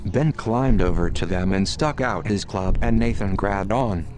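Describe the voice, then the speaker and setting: Slow-reading man over clear background noise. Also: on the low side. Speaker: author reading own story. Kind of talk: audiobook narration of a children's adventure story.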